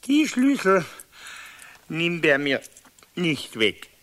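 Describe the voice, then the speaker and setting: Tipsy blustering Bavarian husband, high-pitched. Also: male voice, wildly swooping pitch, extremely slow, fairly bright tone, heavily acted. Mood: muttering, smug. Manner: blustering, muttering to himself, tipsy drawl. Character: grumpy tipsy husband, blustering, scornful of kobolds. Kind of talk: 1970s radio play acting.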